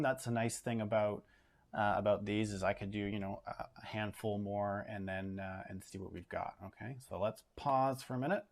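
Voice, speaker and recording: A man, an art educator in his home studio, talking casually through a painting demo with lots of ums and uhs. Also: fairly low pitch. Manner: relaxed, talks through steps with many fillers.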